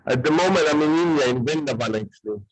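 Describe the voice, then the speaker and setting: A man's voice: on the high side, lively intonation, fast, no echo, low-quality recording. A man in his forties from Poland with a Polish accent, speaking hesitantly and searching for words on a video call. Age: 40s. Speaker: guest participant. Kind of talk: casual video-call conversation.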